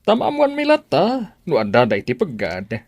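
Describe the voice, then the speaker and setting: Man acting reassuring agency officer, lively swinging pitch, dry close sound, Ilocano Filipino accent, radio drama. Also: moderate pace, no echo, dull audio. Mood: reassuring, businesslike. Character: polite, reassuring male recruitment agency officer.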